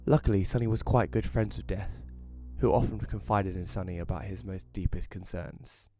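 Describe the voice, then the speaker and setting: A man's voice: sits low, steady tone, normal speed, warm tone, faint music behind, lightly acted. A man playing a casual, wry first-person narrator in a scripted audio drama. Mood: wry, matter-of-fact. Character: Rory, casual storyteller befriending a ghost.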